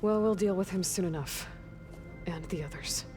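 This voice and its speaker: An actress playing a young video game heroine, direct, practical and skeptical, with an understated, plain-spoken delivery. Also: female voice, fairly low pitch, normal speed, mellow, faint music behind, lightly acted. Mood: businesslike. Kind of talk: video game character dialogue.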